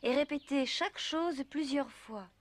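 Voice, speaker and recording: A woman's voice: sits high, a little slow, slight background noise. A woman voicing a kind but firm, motherly fairy in a dubbed cartoon, patient and instructive. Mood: encouraging.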